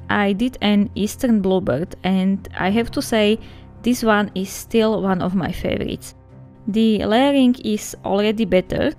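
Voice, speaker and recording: A woman's voice: mid-range voice, a little mellow. A woman with a Slovak accent, a native Slovak speaker, chatting in a friendly, casual way as she flips through her sketchbook for viewers. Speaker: art vlogger.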